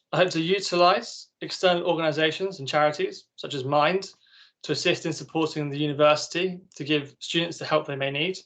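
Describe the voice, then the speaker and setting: A young man with a slightly high voice reads out a prepared campaign speech. His delivery is formal and scripted, like a student candidate setting out a platform. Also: fairly bright tone. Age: young adult.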